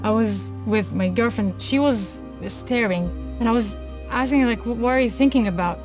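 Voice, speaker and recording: A woman from Israel telling her personal story in an earnest, measured way. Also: a little high. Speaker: speaker in a personal testimony video. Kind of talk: personal testimony. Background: background music.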